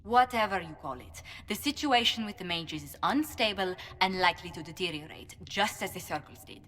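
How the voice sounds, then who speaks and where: Poised, low-pitched woman diplomat. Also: animated, fairly quick, a bit bright. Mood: composed concern. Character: tactful, practical female diplomat. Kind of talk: video game cutscene acting. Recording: long hall reverb.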